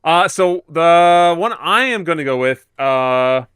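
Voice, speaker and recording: Bright, clear-voiced man, casual podcast host. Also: on the high side, lively intonation, slow pace, no room sound, clean studio sound. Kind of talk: casual chat.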